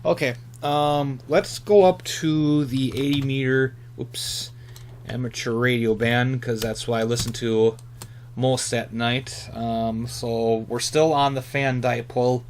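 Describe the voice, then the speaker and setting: A man's voice: mid-range voice, steady tone, takes it slowly. A man giving a casual, off-the-cuff hobbyist demonstration, hesitant and often muttering to himself as he thinks aloud. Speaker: amateur radio hobbyist demonstrating antennas. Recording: clear background hum and noise.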